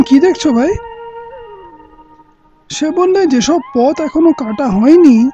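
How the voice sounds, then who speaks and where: A man's voice: very high-pitched, warm tone. Guy acting an older royal uncle, principled and defiant, poetic radio drama speech, muffled recording w music. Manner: earnest, poetic oratory. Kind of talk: Bengali radio play acting. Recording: dull lo-fi audio with music.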